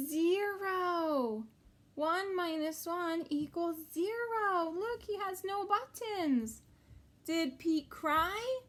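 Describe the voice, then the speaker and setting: High-pitched woman reading slowly to children. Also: a bit bright. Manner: sing-song, exaggerated expression, addressing children. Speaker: kindergarten teacher reading aloud. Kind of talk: animated picture-book read-aloud for young children.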